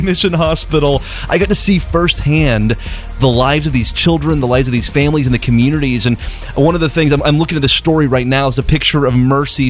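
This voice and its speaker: Man raised in Zimbabwe, earnest charity spokesman, passionate and repetitive, faint music bed. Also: medium pitch. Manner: passionate, storytelling, repeats words. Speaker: charity representative on radio. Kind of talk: earnest appeal on radio.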